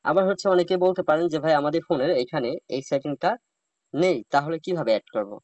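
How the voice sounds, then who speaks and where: A man's voice: fairly high pitch, lively intonation, fast talker, a little mellow, no room sound, dull audio. A man giving an informal step-by-step phone tutorial as a host, addressing his viewers directly. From Bangladesh.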